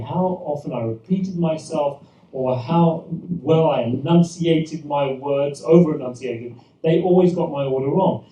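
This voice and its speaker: A man's voice: on the high side, warm tone, a little hiss or hum. A British man, a pastor, telling humorous anecdotes to a church audience with frequent restarts, recorded from a distance in an echoey room. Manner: self-deprecating, anecdotal, many restarts. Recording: echoey room, mic far off, faint ambience.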